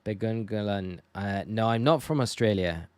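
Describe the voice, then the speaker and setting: Man, northern English accent, low pitch, dry close sound, casual gaming livestream chat, chatty and informal. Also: normal speed, clean tone, no room sound. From northeast England. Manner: chatty, thanking and addressing viewers informally. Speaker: live streamer.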